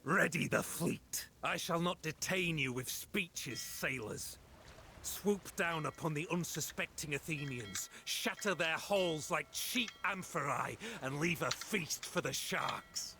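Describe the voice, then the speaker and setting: An actor playing a ruthless, bitter, glory-hungry Spartan admiral, commanding and contemptuous in a theatrical video game style. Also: male voice, fairly high pitch, animated, slow, bright, forceful tone, slight background noise, exaggerated voice. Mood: stern, commanding. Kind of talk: dramatic video game acting.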